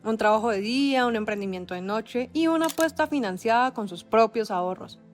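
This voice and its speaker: A woman narrating a documentary script in a measured, dramatic storytelling cadence. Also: on the high side, faint music behind.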